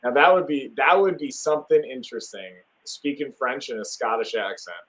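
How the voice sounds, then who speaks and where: A man's voice: medium pitch, moderate pace, a bit bright, rough recording. A young man from Wisconsin hosting a casual live stream, talking enthusiastically and rambling with plenty of fillers and restarts.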